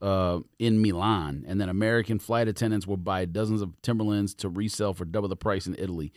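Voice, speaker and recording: Male podcast co-host, lowish pitch, informal and slangy, very dry close sound. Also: fairly even intonation, fairly quick, darkish tone, no room sound. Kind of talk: casual chat with bits read aloud from articles.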